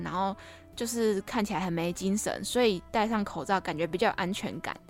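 Young woman, podcast host; mid-pitched, casual, playful chat over faint music. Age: young adult. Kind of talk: casual, chatty podcast monologue.

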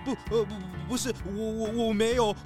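A woman's voice: a little high, steady tone, moderate pace, lightly acted. A woman voicing a timid, nervous male grizzly bear who stammers with fright in a children's audio drama. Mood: stammering scared. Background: background music.

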